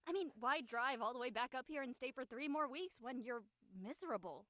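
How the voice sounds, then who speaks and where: Actress for breezy young female friend, drama, sarcastic, very lively pitch, dry recording. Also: a little fast, dry recording. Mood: prodding. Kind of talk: acted dialogue in an audio-led play.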